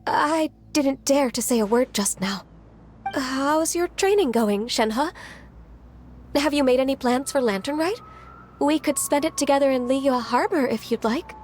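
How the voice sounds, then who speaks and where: A woman voicing a gentle, polite and considerate young female friend in an anime-style video game, with a courteous manner. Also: high voice, a little slow, a little hiss or hum. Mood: polite, friendly. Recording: music faintly behind the voice.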